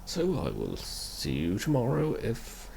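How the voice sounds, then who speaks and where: A man's voice: mid-range voice, wildly swooping pitch, slow pace, gravelly, a little mellow, a little hiss or hum. A man hosting and narrating a comic dub in a casual, story-reading style. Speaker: comic dub reader and channel host. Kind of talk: casual video intro and scripted first-person narration.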